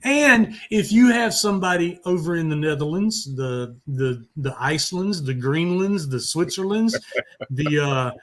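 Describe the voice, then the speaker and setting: A man's voice: on the high side, lively intonation, a little slow, fairly bright tone. A talkative man co-hosting a music talk show, chatting in a casual, rambling way with blunt swearing. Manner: foul-mouthed, blunt, talkative.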